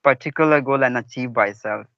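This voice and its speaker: A man's voice: mid-range voice, a little fast, fairly bright tone, no echo. Polite man, dry lo-fi audio. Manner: polite, formal, keeps saying ma'am. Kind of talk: conversation practice chat.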